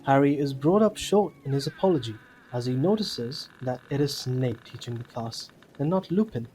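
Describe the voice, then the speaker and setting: A man's voice: medium pitch, expressive, normal speed, dark tone, a little hiss or hum, poor audio. A man reading scripted narration for an audio drama.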